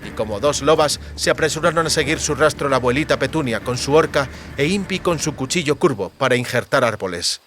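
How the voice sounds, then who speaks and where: A man's voice: medium pitch, background noise. A man reading a fantasy audiobook as dramatic scripted narration.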